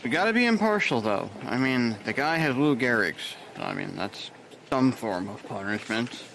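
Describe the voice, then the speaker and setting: A man's voice: mid-range voice, animated, normal speed, background noise, close to an everyday voice. An actor playing an easygoing, wry married male nurse in an audio drama. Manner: laid-back, wry.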